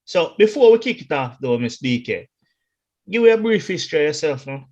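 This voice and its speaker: Host: man, upbeat and loose, slightly higher voice. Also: big pitch swings, a little mellow, lo-fi sound. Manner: upbeat, loose, drawn-out hype phrasing. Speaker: podcast host. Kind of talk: casual podcast chat.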